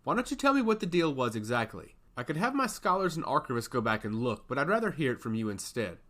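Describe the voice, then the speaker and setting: A man's voice: mid-range voice, very animated, fast talker, bright, forceful tone. A man voicing a stern, sly god of intellect in a fantasy story, lecturing solemnly and emphatically. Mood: probing. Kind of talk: fantasy audiobook character acting.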